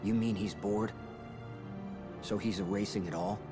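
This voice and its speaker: A man voices a defiant fighter from a video game. His lowish voice is blunt and challenging. Music plays clearly behind him. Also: steady tone, measured pace, close to an everyday voice. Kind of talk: video game cutscene acting. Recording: loud background music.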